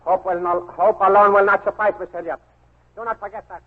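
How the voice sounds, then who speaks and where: An actor playing a stern, silkily menacing Japanese official in a 1940s radio drama, formal and imperious. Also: male voice, high-pitched, steady tone, a little fast. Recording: tinny, band-limited 1940s radio recording.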